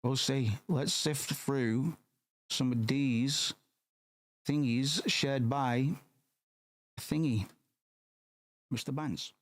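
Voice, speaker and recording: A hoarse man rambling casually on a live stream, swearing often and tagging "man" onto his sentences. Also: medium pitch, very slow, pauses often. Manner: rambling, profane, keeps saying "man".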